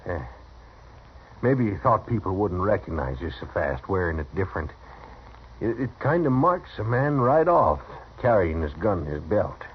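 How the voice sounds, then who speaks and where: A low but swooping rural American cowboy, talkative and boastful. He stammers and fawns like an excitable hanger-on in an old radio Western. The recording is dull. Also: moderate pace, a little hiss or hum. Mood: fawning. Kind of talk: 1950s Western radio drama acting.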